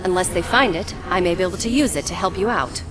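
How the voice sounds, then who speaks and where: An actress playing an earnest rebel soldier and former captive in a video game. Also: female voice, on the low side, fast, over music, lightly acted. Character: earnest female captive rebel soldier offering secret help. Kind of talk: video game character acting.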